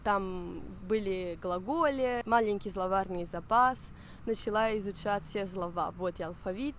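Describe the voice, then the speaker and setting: A woman's voice: mid-range voice, a little slow, slight background noise. Young woman speaking learned Russian. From Spain. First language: Spanish and Italian. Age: young adult. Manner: hesitant, searching for words with fillers. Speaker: guest. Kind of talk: casual interview in learned Russian.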